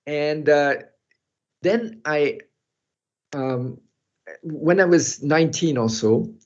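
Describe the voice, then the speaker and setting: An older man who grew up in Europe, speaking as a guest in an online talk and telling a personal story in a halting, reflective way with soft laughs. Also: fairly high pitch. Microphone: video call. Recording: muffled video call audio.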